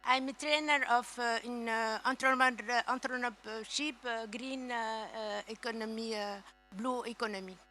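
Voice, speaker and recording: A woman's voice: fairly high pitch, steady tone, normal speed, hardly any pauses, pushed and bright, slight background noise. A woman from Tunisia, a civil engineer, introducing herself on a panel with many hesitant fillers.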